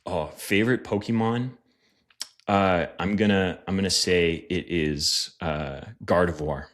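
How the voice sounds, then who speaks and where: Guest pastor, male, very low voice, thoughtful and earnest, casual podcast delivery. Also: a little slow. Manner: earnest, reflective, with fillers. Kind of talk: casual interview chat.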